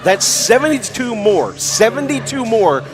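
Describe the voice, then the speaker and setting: A man's voice: very high voice, sing-song, unhurried, over music. An American man hosting pregame basketball commentary on radio, emphatically rattling off statistics. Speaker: sports radio play-by-play host.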